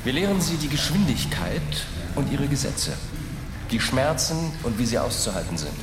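An actor playing a brusque, self-important swimming coach in a radio play, curt and dismissive. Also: male voice, medium pitch, big pitch swings, moderate pace, a loud crowd, close to an everyday voice. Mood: lecturing. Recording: roomy echo, loud music behind.

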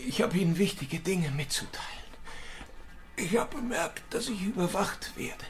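A man's voice: high voice, lively intonation, normal speed, soft background music. An actor playing a furtive, wounded, apologetic man in a radio drama, speaking in hesitant, secretive bursts. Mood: strained, secretive. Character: Julien, furtive, wounded, apologetic male intruder. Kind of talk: German radio drama acting.

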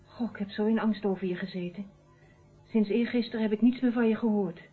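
A woman's voice: medium pitch, steady tone, a little fast, close to an everyday voice. Anxious, caring woman played by an actress, tinny sound. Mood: relieved, caring. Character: caring, anxious female confidante of an investigator. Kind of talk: radio drama acting. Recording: narrow-band, phone-like old radio recording.

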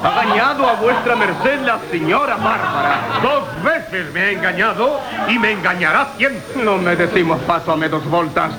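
A man's voice: high-pitched, expressive, normal speed, loud music behind the voice, poor audio. An actor with an Italian accent playing a jealous, cheated suitor in a radio farce, theatrical and indignant, mixing Italian into his Spanish. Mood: plaintive. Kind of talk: broad classical stage farce radio acting.

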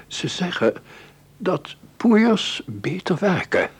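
A man's voice: high-pitched, big pitch swings, slow pace, breathy edge, exaggerated voice. A man acting in a radio play as a scheming, cynical educated doctor who muses darkly to himself. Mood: sly, polite.

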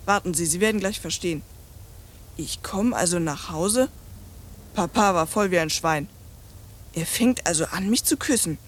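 A woman's voice: fairly low pitch, normal speed, pauses often, close to an everyday voice. Woman playing a cheeky, streetwise, precocious girl. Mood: gleeful storytelling. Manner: bossy, chatty, slangy storytelling. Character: cheeky, streetwise, precocious young French girl, bluntly scornful. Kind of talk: radio play acting.

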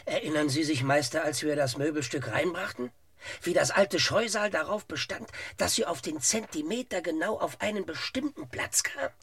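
An actor playing an eager, earnest detective's sidekick with a husky voice and clipped delivery in a radio play. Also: male voice, a little high, animated, normal speed, fairly bright tone, a little hiss or hum. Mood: eager deducing. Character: eager, chatty male detective's sidekick in crime parody.

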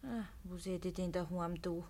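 An actress playing a sulky, defiant young peasant daughter in a radio play, speaking tersely with a Bavarian accent. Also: female voice, on the low side, moderate pace, warm tone. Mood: sullen, defiant. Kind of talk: naturalistic dialect radio drama acting.

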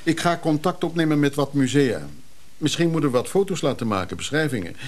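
A man's voice: on the high side, lively intonation, brisk, lightly acted. An actor playing an easygoing but evasive male companion in a radio play, speaking casually and hesitantly. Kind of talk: naturalistic radio play acting.

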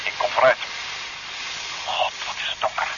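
An actor playing a brave, matter-of-fact undersea diver in a 1970s sci-fi radio drama, reporting in clipped phrases over a radio link. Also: male voice, normal speed. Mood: tense, focused. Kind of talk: 1970s Dutch science-fiction radio drama acting. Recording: dull, noisy 1970s radio recording.

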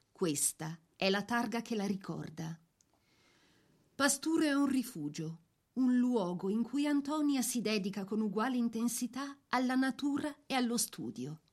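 A woman performing as a theatrical narrator, presenting a life story in scripted, measured phrases with pointed pauses. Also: fairly high pitch. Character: woman narrator presenting a dead poet's life through photos. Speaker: narrator in a staged biography of a poet. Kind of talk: scripted dramatic narration, showing photographs.

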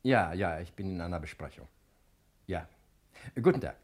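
An actor playing a self-important, jovial prison director with an officious manner in a German radio drama from around 1960. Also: male voice, deep voice, animated, normal speed. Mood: officious, skeptical. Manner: jovial, officious, with throat-clearing.

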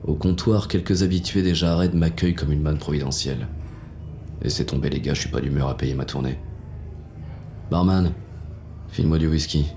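An actor playing a bitter, world-weary police inspector in a noir audio drama, gruff and slangy as he narrates his own story. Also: steady tone, moderate pace, long pauses, warm tone. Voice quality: very deep, gravelly. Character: jaded, hard-boiled male police inspector, bitter and shaken.

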